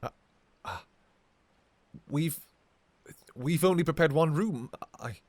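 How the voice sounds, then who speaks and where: A man playing an anguished, devoted vampire king in a fantasy romance audio roleplay, speaking in halting, pleading, formal old-fashioned phrases. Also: fairly high pitch, expressive, a little mellow, dry recording. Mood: overwhelmed, joyful. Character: anguished, devoted vampire king, obsessive lover and new father.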